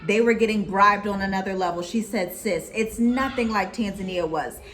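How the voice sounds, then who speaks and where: An American woman co-hosting a casual chat, talking in a lively, preachy way with scripture references. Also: mid-range voice, normal speed, a little hiss or hum. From United States. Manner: animated, preachy, quotes people in put-on voices. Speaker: co-host.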